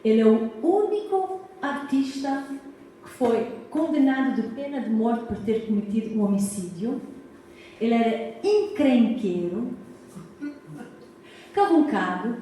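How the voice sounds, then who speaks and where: American woman historian, Portuguese accent, English her first language, chatty public lecture, dark mellow voice, recorded far off in a very echoey hall. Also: fairly high pitch, animated, unhurried, long pauses, a little hiss or hum. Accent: Portuguese (Azorean family), American English. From United States, Massachusetts. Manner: warm, chatty, asks the audience questions, frequent "tá?" check-ins. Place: hall of a cultural society.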